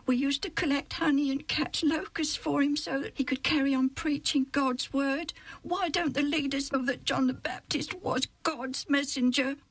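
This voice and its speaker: A woman voicing Alf, an eager young boy in a storybook Bible tale, talking in an excited rush. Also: sits high, moderate pace, few pauses, dry recording, voice pushed up.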